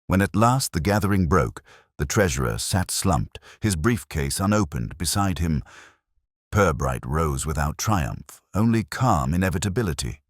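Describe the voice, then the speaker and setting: A man's voice: sits low, animated, normal speed, no room sound, clean studio sound. A man reading scripted, dramatic narration of a detective story.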